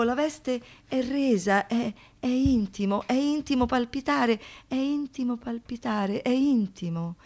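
High-voiced woman in dramatic theatrical recitation. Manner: deliberate, repeats phrases for poetic effect. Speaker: monologue performer.

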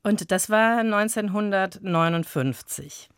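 A woman's voice: medium pitch, slow, very noisy. A woman reading scripted radio narration as a presenter in a studio. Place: dry studio.

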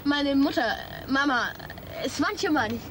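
An actress playing a flustered, eager schoolgirl in a German children's radio play, hesitant and excitable. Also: high voice, takes it slowly. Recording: clear background noise.

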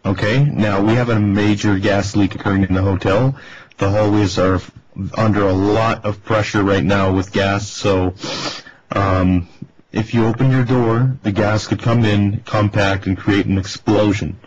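A man playing an urgent, insistent hotel staffer on a prank phone call, halting and polite in address. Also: lowish voice, fairly even intonation, close to an everyday voice. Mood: official, serious. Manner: halting, repeatedly addressing the listener as ma'am. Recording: dull, noisy telephone recording.

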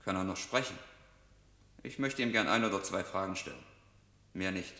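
A man's voice: sits low, normal speed, bright, forceful tone. A man playing a businesslike police detective in a German radio drama, polite and matter-of-fact, recorded in an echoey hall. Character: businesslike male police detective questioning a doctor. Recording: echoey hall with long reverb.

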